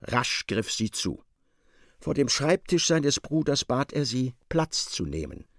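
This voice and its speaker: A man giving expressive, scripted literary narration in a radio play. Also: medium pitch, slow, no room sound, studio quality.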